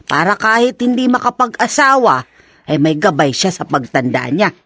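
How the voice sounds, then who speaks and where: A woman's voice: lowish voice, normal speed, a bit bright, dry recording. An actress with a Filipino accent, playing a fond, talkative middle-aged mother in a radio drama. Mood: coaxing.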